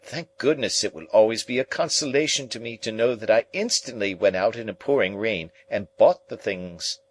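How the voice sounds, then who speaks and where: A man acts out a middle-aged society lady from a storybook, full of indignation and self-pity. The voice is lifted well above his own, theatrical and emphatic, exclaiming as if deeply wronged. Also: moderate pace, lightly acted. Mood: righteous indignation. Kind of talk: dramatised audiobook reading.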